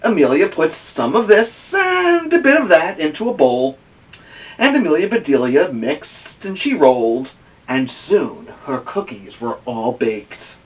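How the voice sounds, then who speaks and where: A man hosting a children's story podcast, reading a picture book aloud in an expressive, animated way. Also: on the high side, a little slow, dull audio. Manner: expressive, addresses a young co-host with questions. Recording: slightly distant from the microphone.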